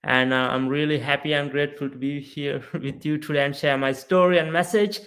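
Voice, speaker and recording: A man's voice: a little high, brisk. A man from Sri Lanka in his thirties, a guest on a podcast, telling his story earnestly and often asking "right?". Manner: earnest, animated, frequent "right?" and "you know". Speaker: podcast guest, mindset coach. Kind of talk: casual storytelling interview.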